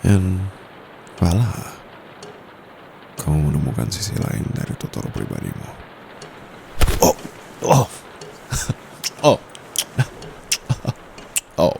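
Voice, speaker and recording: A man voicing a young private tutor whose timid front hides a dominant streak, speaking softly and slowly right up against the mic. His voice sits extremely low with a gravelly fry. A steady hiss of noise and passing traffic runs underneath. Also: full character voice. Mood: teasing. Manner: soft-spoken, teasing, slow and close. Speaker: voice actor. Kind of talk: ASMR roleplay. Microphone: close condenser mic.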